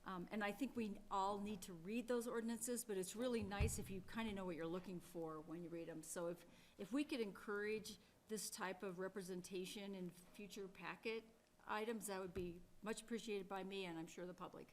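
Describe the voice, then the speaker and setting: A woman's voice: medium pitch, fairly even intonation, brisk, few pauses, a little hiss or hum. Plainspoken woman chairing a council meeting. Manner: plainspoken, thinks aloud with many restarts.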